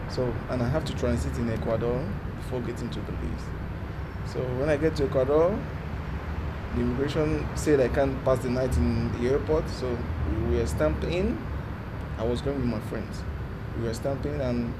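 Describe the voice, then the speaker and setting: A man in his twenties with a Nigerian accent, recounting his journey in a documentary interview in halting, repetitive bursts. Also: mid-range voice. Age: 20s. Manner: halting, repeats himself, talks in short bursts. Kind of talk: personal account in an interview. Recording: loud street and traffic noise.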